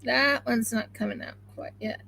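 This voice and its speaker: A woman with an Oklahoma accent chatting excitably and rambling on a home craft live stream while she sews. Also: fairly high pitch, normal speed, gravelly, slight background noise. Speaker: craft channel host. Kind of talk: casual live-stream chat while sewing.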